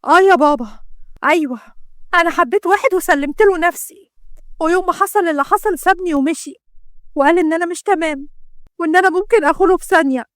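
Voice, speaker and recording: A woman's voice: high-pitched, fast, a bit bright, no room sound, clean studio sound. A woman voicing a desperate, defiant young woman, agitated and bitter, with an Egyptian accent. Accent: Egyptian Arabic.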